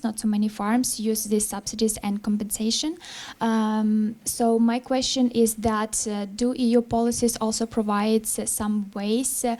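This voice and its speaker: A woman from Ukraine, politely and carefully asking a question with frequent pauses. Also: a little high, warm tone.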